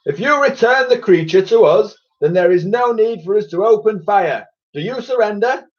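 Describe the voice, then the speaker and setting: A man voicing an overly polite, pompous male naval captain calling over a loudhailer. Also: high voice, expressive, moderate pace, voice pushed up. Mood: fussy polite. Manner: overly polite, keeps asking to repeat. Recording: lo-fi recording.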